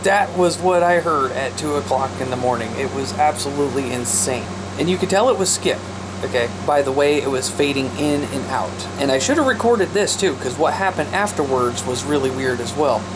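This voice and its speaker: Man vlogging over loud background noise. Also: medium pitch, lively intonation, moderate pace. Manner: repetitive, emphatic, addresses listeners as guys. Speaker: radio hobbyist vlog host. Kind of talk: casual vlog talk to viewers.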